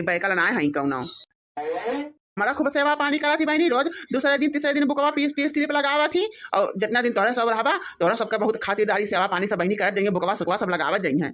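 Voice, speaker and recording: A woman's voice: mid-range voice, a bit bright, natural voice. A woman voicing a proud, hospitable village mother-in-law in a comedy cartoon, speaking emphatically with an Awadhi accent.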